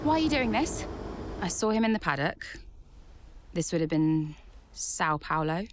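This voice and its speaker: An actress playing a hurt, defiant young racing driver in a video game, confrontational and plain-spoken in a naturalistic dramatic style. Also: female voice, fairly low pitch, big pitch swings, a little slow, background noise. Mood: subdued. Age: young adult.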